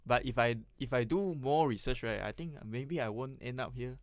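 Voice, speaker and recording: A young man, a Chinese speaker, a radio guest who talks in a hesitant, halting way and repeats words. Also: medium pitch, dry recording, clean studio sound. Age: young adult.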